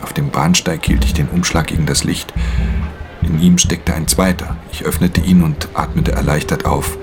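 A man playing a professional pickpocket who recounts his theft in measured, breathy, half-whispered radio drama narration. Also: rumbling low. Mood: hushed, tense. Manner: measured, hushed storytelling. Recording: music under the voice.

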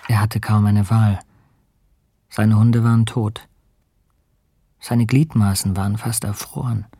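A man's voice: lowish voice, fairly even intonation, slow pace, dark tone, exaggerated voice. A man acting an earnest, compassionate ship captain and polar explorer in a radio play. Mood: reflective narration. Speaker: narrator and character in radio play. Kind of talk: radio drama acting with letter narration. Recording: clear noise, ambience behind.

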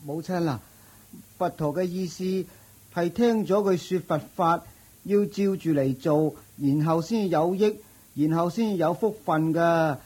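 An actor playing a gentle, dutiful young son in a radio drama, talking softly and mildly, a little hesitant. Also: male voice, a little high, measured pace, breathy edge, rough recording. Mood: earnest. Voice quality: soft, mild, hesitant. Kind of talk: Cantonese radio drama acting.

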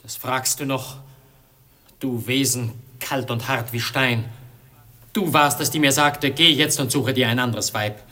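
Lovesick young prince: medium-pitched, slow, tormented, declamatory, old radio drama. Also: male voice, steady tone, pushed and bright. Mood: anguished. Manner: rapturous, declamatory. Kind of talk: 1950s German radio play acting.

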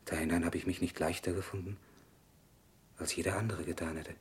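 An actor playing a sober, dutiful Parisian husband in an old German radio drama, grave and measured. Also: male voice, steady tone. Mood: sober, reproachful. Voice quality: husky, low.

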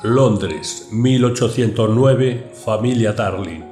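A man's voice: lowish voice, slow pace. A man narrating a children's story as scripted storybook narration. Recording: echoey voice over soft music.

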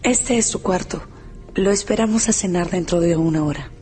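Actress as a reserved, low-voiced young woman. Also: normal speed, dark tone, lightly acted. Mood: courteous. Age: young adult. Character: reserved, courteous young niece, quiet and enigmatic. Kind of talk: radio drama acting. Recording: music behind the voice.

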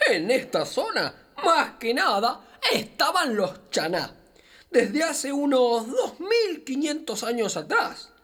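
A woman's voice: high voice, slow, full character voice. A woman playing a friendly, wise capybara who patiently explains things to a child in children's radio theatre, with an Argentine accent. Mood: patient, explaining. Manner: patient, expressive explaining, storyteller-like. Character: friendly wise capybara guiding a little girl.

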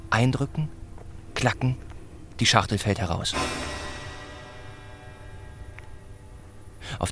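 A man narrating a literary radio play about village life in a sombre, measured, deliberate way. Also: fairly low pitch. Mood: measured, reflective.

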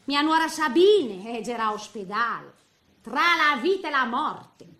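A high, sharp-edged old woman of eighty, tells her story on stage in a regional Italian accent. She is sentimental and feisty, rambling in colloquial exclamations and muttered asides, and the room rings with echo. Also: big pitch swings, moderate pace, exaggerated voice. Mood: spiteful, chatty. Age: middle-aged. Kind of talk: theatrical comic monologue. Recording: echoey stage recording with faint noise.

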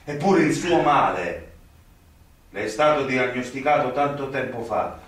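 An actor playing a stern, indignant young magistrate in a radio play, with an emphatic, commanding delivery. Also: male voice, mid-range voice, lively intonation, a little slow, fairly bright tone. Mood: questioning. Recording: very echoey, distant, faintly noisy recording.

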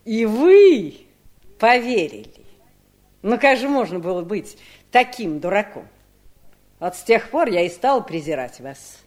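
An actress playing a scornful, cold-hearted, greedy wife in a 1970s radio drama, with a mocking, theatrical delivery. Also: female voice, mid-range voice, big pitch swings, measured pace, pauses often, a little mellow. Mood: bitter disdain.

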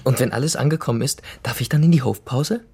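An actor playing an embittered young delivery worker in a radio drama, sarcastic, provocative and colloquial in a naturalistic way. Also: male voice, medium pitch, fast, gravelly, darkish tone, husky. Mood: mocking. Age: young adult.

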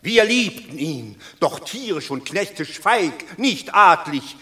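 An actor playing an anxious Roman elder in a classical verse radio drama, declaiming with regret and scorn. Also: male voice, fairly high pitch, sing-song, slow, pushed and bright, heavily acted. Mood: scolding. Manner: pleading then scolding, declamatory.

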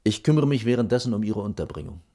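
An actor playing a stern, pedantic senior architect in a 1980s East German radio drama, dry, schoolmasterly and emphatic. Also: male voice, fairly low pitch, animated, fast talker, dark tone. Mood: dry, lecturing.

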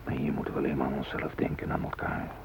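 A man's voice: extremely low pitch, level tone, fast, vocal fry. An actor in a radio drama playing an anxious but resourceful hostage, muttering his thoughts in fragments. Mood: worried, muttering. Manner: muttering, fragmented, self-steadying. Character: anxious, resourceful male hostage thinking aloud. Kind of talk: radio drama inner monologue. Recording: faintly noisy old radio recording.

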